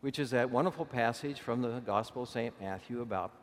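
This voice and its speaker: Bishop preaching in a reverberant church. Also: male voice, medium pitch, a little hiss or hum. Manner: conversational preaching, slow deliberate emphasis. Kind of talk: sermon.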